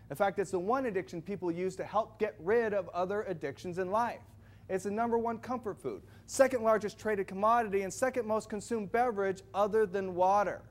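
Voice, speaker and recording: A man giving a loud, projecting sales presentation to a live audience, firing questions at the crowd. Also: high voice. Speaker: product presenter.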